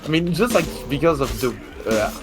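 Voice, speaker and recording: Quebec guy with a french accent, halting gamer chat, lots of likes and restarts, music playing under him. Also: fairly high pitch, lively intonation. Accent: Québécois French. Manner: halting, many fillers and restarts. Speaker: speedrun verifier. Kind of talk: casual gaming chat.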